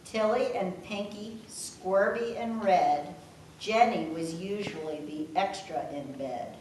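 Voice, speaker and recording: A woman's voice: medium pitch, animated, slow, darkish tone. A mature woman reading her rhymed verse aloud to family, with casual asides. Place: room at a family gathering. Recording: echoey room, distant mic, faint noise.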